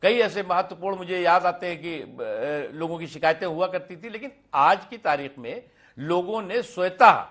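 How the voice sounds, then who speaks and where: A man giving off-the-cuff political remarks in a colloquial, halting way, often repeating words. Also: high voice, a bit bright.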